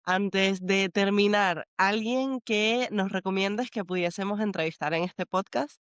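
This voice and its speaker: A man hosting a podcast interview, gracious and quick to thank his guest. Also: high voice, a bit bright, clear, resonant tone, no echo, highs cut off. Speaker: podcast host.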